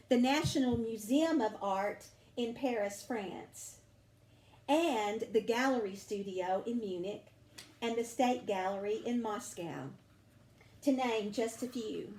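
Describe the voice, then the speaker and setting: A woman reading a story aloud for children in a deliberate, teacherly way. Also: on the high side, darkish tone, roomy sound, poor audio. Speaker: storybook reader. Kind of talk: read-aloud narration for children.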